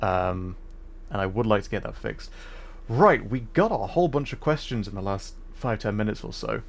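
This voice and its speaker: A man's voice: on the low side, animated, moderate pace. A man giving casual, informal commentary on a gaming livestream, chatting to viewers.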